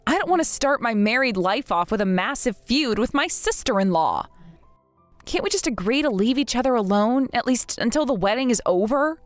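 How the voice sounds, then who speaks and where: A woman in her thirties voicing a patient, polite bride-to-be who speaks in a soft, measured, restrained way. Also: a little high, lively intonation, no echo, soft background music, close to an everyday voice. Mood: hurt. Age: 30s.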